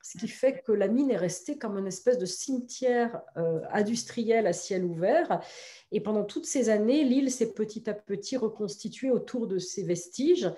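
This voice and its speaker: Woman guest, nasal with a cold, mellow tone, eager explainer. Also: medium pitch, animated, normal speed. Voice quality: nasal, congested. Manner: enthusiastic explaining, with fillers and restarts. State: has a cold. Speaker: film director, guest. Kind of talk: interview answers.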